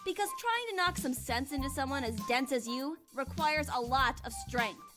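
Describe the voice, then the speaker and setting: A young female student athlete from a story game. She is reserved and stern, speaking in a high, matter-of-fact voice over background music. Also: animated, normal speed, fairly bright tone. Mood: annoyed. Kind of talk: visual novel game voice acting.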